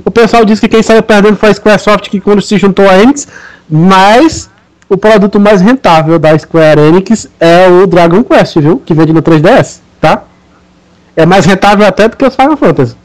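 A man's voice: high voice, moderate pace, rough recording. A Brazilian man co-hosting a gaming podcast, talking emphatically and lecturing listeners in casual chat. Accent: Brazilian Portuguese. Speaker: podcast co-host.

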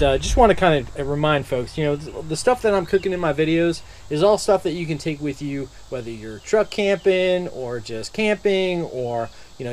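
A man hosting an outdoor cooking video, explaining each step at a relaxed pace with plenty of fillers and restarts. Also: fairly high pitch, slight background noise. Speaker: outdoor cooking channel host. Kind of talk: casual cooking demonstration. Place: outdoors at a truck tailgate by a camp stove.

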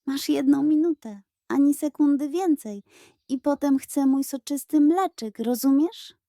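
A woman's voice: sits high, unhurried, mellow, dry recording, studio quality, voice pushed up. A woman voicing a stern, suspicious female goat with a curt, demanding manner in a children's audio tale. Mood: gruff warning.

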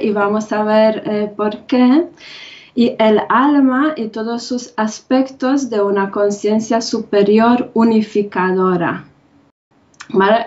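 Middle-aged woman, higher voice, slow and halting speaker, muffled webcam sound. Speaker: conference speaker. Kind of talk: online talk on spirituality. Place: home room with bookshelves. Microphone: video call webcam. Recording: dull lo-fi webcam audio.

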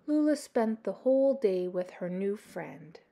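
A middle-aged woman reading a children's story aloud in an expressive storytelling style. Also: mid-range voice, measured pace, creaky. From Canada.

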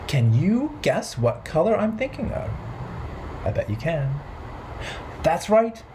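Slow, swooping children's storytime man. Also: on the high side, background noise. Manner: playful, simple, expressive address to young children. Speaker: children's storytime host at a library. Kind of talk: children's storytime, rhythmic and sing-song. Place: bright living room at home.

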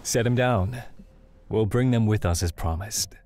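A man's voice: on the low side, a little slow, a little mellow, faint music behind, close to an everyday voice. A man playing a composed, confident leader of adventurers in a fantasy audio drama. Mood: calm, assured.

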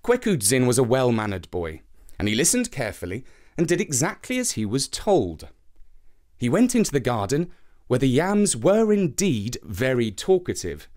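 A man reading a children's folktale as an expressive storybook narrator. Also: mid-range voice, moderate pace.

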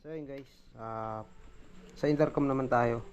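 A man's voice: mid-range voice, unhurried, highs cut off. A man with a Filipino accent gives a casual hands-on product demo as a reviewer, informally addressing his viewers. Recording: noisy amateur recording with background ambience.

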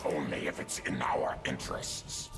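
An actor voicing a hostile, menacing monster warrior in a video game, with a gravelly growl and blunt delivery. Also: male voice, very deep voice, steady tone, unhurried. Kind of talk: dramatic video game character dialogue. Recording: echoing, with loud game music behind.

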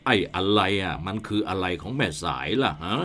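A man's voice: deep voice, lively intonation, normal speed, bright, forceful tone, slight background noise. An actor playing a worried village headman in a radio ghost drama, grumbling and defensive. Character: worried middle-aged male village headman.

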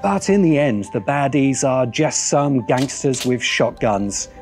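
A man's voice: mid-range voice, lively intonation, a little slow, loud music behind the voice. A middle-aged man presenting a video essay on film in a wry, emphatic manner. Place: open-air veranda.

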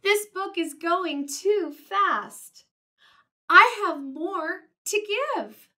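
A nervous, gentle storybook elephant, as a woman puts him on in a high, fretful voice that jumps up in alarm. The pitch leaps around a lot and the delivery stays bright. It sounds a little distant from the mic. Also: lively intonation, very slow, exaggerated voice. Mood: worried. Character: anxious gentle male elephant, Gerald.

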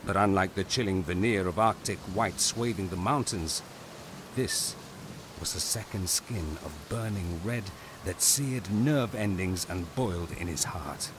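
A man narrating a scripted audio drama in a grave, deliberate, dramatic way. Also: deep voice, background noise.

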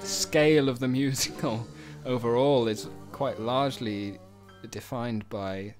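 A man's voice: medium pitch, steady tone, slow. Man doing offhand commentary, dry wry humour n chuckles, music going in the background. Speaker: co-host, writer and puppeteer. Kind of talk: casual behind-the-scenes commentary. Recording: music clearly playing behind.

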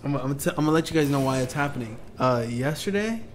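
Laid-back young man, slightly hoarse. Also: mid-range voice, expressive, quick pace, a little hiss or hum. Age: young adult. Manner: laid-back, giggly, trailing off. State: hoarse from screaming. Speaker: podcast co-host. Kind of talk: casual chat. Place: small office conference room. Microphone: headset with desk mic.

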